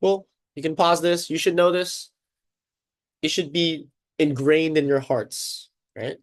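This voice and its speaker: A man's voice: a little high, steady tone, takes it slowly. A congested, sniffling man teaching a casual math lesson at a board, upbeat and chatty, often checking in with "okay" and "right?".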